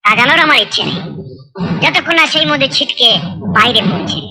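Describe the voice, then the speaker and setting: A woman voicing a bold, unflappable police officer in comic cartoon dialogue, deliberate and taunting. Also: high-pitched, a loud crowd. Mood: firm. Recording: dialogue under clear background music. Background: music.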